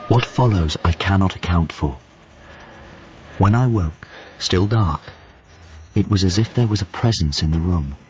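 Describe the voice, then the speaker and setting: An actor playing a grave man narrating in the first person in a radio drama, deliberate, formal and solemn. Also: low voice, darkish tone. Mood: solemn, awed. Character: grave man recounting a mysterious night visitation. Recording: dull lo-fi audio with clear noise.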